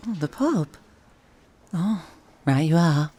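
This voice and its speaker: A cheeky young woman in an audio drama. She has a Northern English accent, with a mellow, husky voice and an unpolished working-class manner. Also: low voice, expressive, slow, full character voice.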